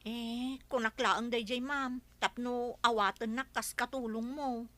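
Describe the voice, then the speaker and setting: Timid but stubborn maid girl, high bright voice, kinda defensive, radio drama comedy. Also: fairly even intonation, a little slow. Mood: sheepish. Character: meek but stubborn young housemaid, poor at spelling.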